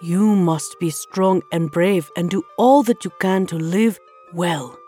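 A woman's voice: lowish voice, takes it slowly, warm tone, soft background music. Woman voicing a solemn, loyal palace guard. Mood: regretful, firm. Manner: solemn, formal. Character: loyal royal guard, regretful and dutiful. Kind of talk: storybook character voice.

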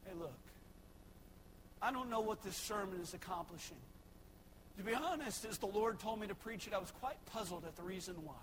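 A young man preaching a sermon, swinging from shouted exhortation to soft, folksy asides. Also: sits high, a little fast, noisy background. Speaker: pastor.

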